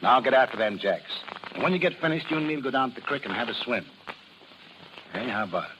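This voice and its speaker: An American man acting in a 1930s radio drama as a rough, slangy, quick-tempered carnival barker and protective father. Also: medium pitch, animated, muffled recording, lightly acted. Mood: coaxing, fatherly. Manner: slangy, folksy, ungrammatical. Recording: noisy vintage 1930s radio recording.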